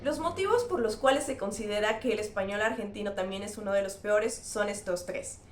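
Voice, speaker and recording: A woman linguist hosting a scripted educational explainer, speaking clearly and spelling out examples. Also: mid-range voice, brisk, echoey room, a little hiss or hum. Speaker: linguist and channel host.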